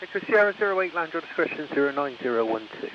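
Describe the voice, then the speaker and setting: A man's voice: fairly high pitch, expressive, rapid-fire. A man working an airfield radio, giving clipped procedural messages through a radio channel. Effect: aircraft radio. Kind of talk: clipped aviation radio exchanges. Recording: dull lo-fi radio with cockpit noise. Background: aircraft noise.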